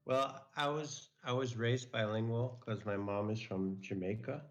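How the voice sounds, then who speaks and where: A man's voice: mid-range voice, steady tone, unhurried, dull audio. A man talking casually and hesitantly, with frequent ums and uhs. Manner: hesitant, with many fillers. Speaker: guest. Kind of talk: casual chat.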